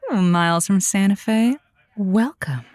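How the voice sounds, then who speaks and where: A woman's voice: mid-range voice, a little slow, darkish tone, no echo, clean studio sound, close to an everyday voice. A woman playing a sympathetic, flirty late-night radio advice host who soothes and coaxes her callers with pet names in a loose improvised style. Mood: soothing, welcoming. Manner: soothing, empathetic, coaxing, uses pet names. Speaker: radio call-in show host. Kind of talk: improvised comedic advice-show hosting.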